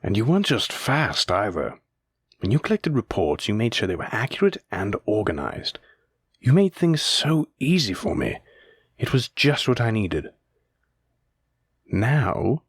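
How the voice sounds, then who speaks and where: A man playing a stern, teasing demon lord in a scripted audio roleplay, speaking in a measured, commanding way. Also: fairly low pitch, very animated, breathy edge, no echo, clean studio sound, full character voice. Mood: stern disappointment.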